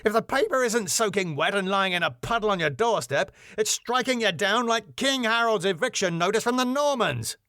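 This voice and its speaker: A man's voice: high voice, expressive, moderate pace, few pauses, bright, forceful tone, no room sound, clean studio sound, pitched above the performer's own voice. A man voicing a blustering, pompous, irate club boss in a sitcom. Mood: indignant.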